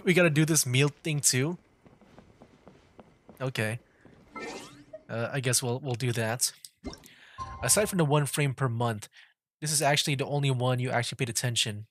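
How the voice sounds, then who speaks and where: A man's voice: medium pitch, moderate pace, pauses often, fairly bright tone. A young adult man giving casual livestream gameplay commentary as a game streamer. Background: game music.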